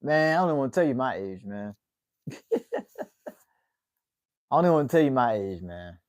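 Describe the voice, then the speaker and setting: A man in his late twenties chats easily with his livestream viewers, relaxed and unhurried. He keeps ending phrases with a drawled "man." His voice sits at an ordinary middle pitch, recorded close and dry with no room sound. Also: dry recording. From United States. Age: 20s. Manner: laid-back, drawls "man" at the ends of phrases. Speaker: livestream host. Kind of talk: casual livestream chat with viewers.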